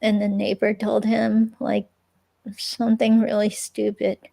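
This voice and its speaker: A woman reading the part of an evasive, unsure ex-girlfriend in a police interview, with a creaky, hesitant, rambling delivery. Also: medium pitch, monotone, a little slow, dark tone. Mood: hesitant, vague. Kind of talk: dramatic reading of an interview transcript, conversational.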